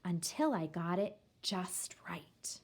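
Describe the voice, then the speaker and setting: Slightly low-voiced woman reading a storybook. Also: expressive, takes it slowly, slightly rough. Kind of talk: scripted picture-book read-aloud in first person.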